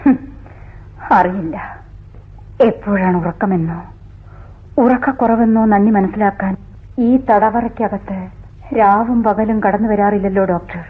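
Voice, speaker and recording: A woman's voice: mid-range voice, a little slow, pauses often, husky. An actress playing an anguished, accusing woman convict haunted by her killings, in a theatrical, declamatory radio drama style. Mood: weary, lost. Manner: dramatic, declamatory, swinging from hushed to crying out. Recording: tinny, phone-like old radio recording.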